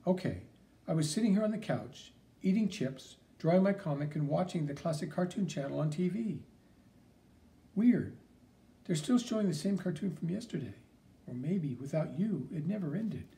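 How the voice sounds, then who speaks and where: An eager young boy talks in a raised, questioning voice, quick to doubt, as a man reading a storybook puts him on. His tone stays mellow, and his pitch jumps around a lot. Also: expressive, vocal fry, recorded from a distance. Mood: boyish. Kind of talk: audiobook character reading.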